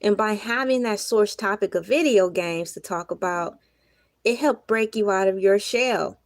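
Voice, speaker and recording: A woman hosting a casual livestream, talking earnestly to her viewers with a slightly hoarse voice. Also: mid-range voice, unhurried, no echo. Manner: chatty, earnest, reflective, laughs often. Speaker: livestream host.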